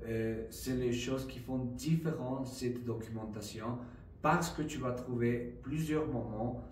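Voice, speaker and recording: Slow-talking man with non-native French. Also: mid-range voice, distant mic, a little hiss or hum. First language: not French. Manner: slow, halting, many fillers and "d'accord?" checks. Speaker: trading vlogger. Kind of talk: casual explanatory vlog. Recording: roomy, voice a bit off-mic, faint ambience.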